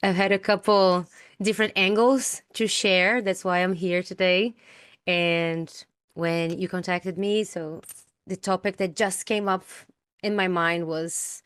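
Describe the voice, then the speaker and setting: A woman whose first language is Portuguese talks through her ideas in a relaxed interview, pausing to think and laughing lightly between points. Her voice sits lower than most women's. The sound is close and dry, with almost no room echo. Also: clean tone. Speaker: teacher, podcast guest. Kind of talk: casual interview conversation.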